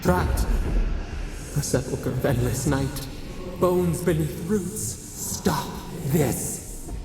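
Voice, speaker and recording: An eerie, bewildered spirit for a fantasy video game. The voice creaks and drags in broken, cryptic phrases, washed in long echo over music. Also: mid-range voice, background noise. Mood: anguished, fearful. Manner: halting, cryptic fragments, dramatic. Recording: cavernous reverb, music underneath.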